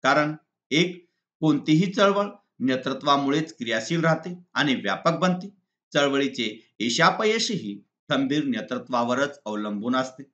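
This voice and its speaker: A man teaching in a deliberate, didactic way, reading exam answers point by point. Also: medium pitch, expressive, fairly bright tone. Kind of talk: exam answer walkthrough, lesson read aloud. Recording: slightly dull lo-fi recording.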